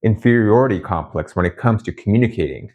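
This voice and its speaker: Low-voiced man telling his story candidly. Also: lively intonation, darkish tone, highs cut off. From raised in Japan by Brazilian parents. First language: Japanese. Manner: reflective, candid, with frequent restarts and pauses. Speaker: channel host. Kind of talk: personal storytelling to camera.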